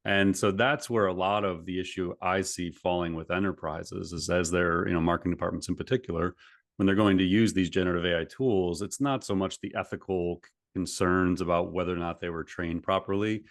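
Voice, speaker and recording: A man guesting on a podcast, explaining things in a steady, matter-of-fact way. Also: sits low, brisk, no room sound, clean studio sound. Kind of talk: casual conversational interview.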